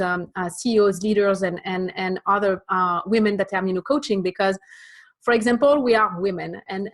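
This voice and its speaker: A middle-aged French woman talks in a mid-pitched voice with a clear French accent. She speaks haltingly, filling her sentences with little pauses and fillers, in an unscripted interview. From France. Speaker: executive coach. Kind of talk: conversational interview.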